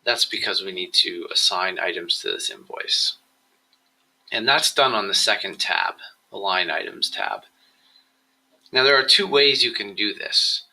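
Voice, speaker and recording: A man presenting a software tutorial, explaining each step in a measured, instructional screencast style. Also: lowish voice, breathy edge, rough recording.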